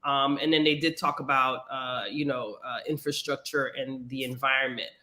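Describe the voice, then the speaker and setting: A man's voice: medium pitch, flat delivery, normal speed, pushed and bright. A man hosting a casual political interview, asking questions with many fillers. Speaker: podcast host.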